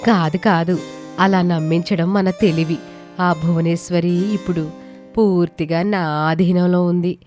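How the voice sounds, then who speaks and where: A woman's voice: lowish voice, fairly even intonation, a little slow, a little mellow, faint music behind, close to an everyday voice. A woman playing a cunning, smug village schemer with a confident, conniving manner in a moral story.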